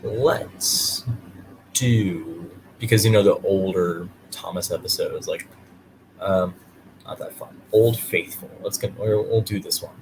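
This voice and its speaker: A man's voice: sits low, slow pace, background noise. A man hosting and reading a children's bedtime story aloud. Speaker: storyteller and host.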